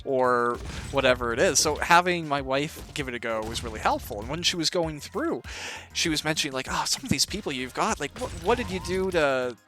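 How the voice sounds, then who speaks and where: A man's voice: on the high side, expressive, a little fast. A man hosting a casual interview, encouraging his guest with long, rambling questions. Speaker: interviewer, audiobook creator. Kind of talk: casual interview while playing a video game.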